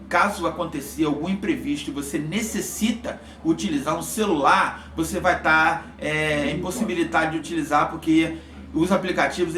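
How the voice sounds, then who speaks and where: A man with a Brazilian accent giving an informal explanation as a vlogger, in a halting, repetitive way with frequent check-in words. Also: fairly high pitch, fairly bright tone, a little hiss or hum. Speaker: vlogger reviewing motorcycle communication gear. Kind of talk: informal explanatory product talk. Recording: echoey, roomy sound.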